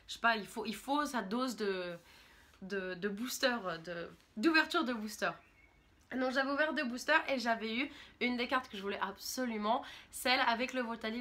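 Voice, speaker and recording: A young woman from France talks straight to her viewers in a loose, chatty, rambling way, clearly enthusiastic about her hobby. Her voice sits a little high and comes across bright and crisp. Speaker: YouTuber. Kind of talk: casual vlog monologue.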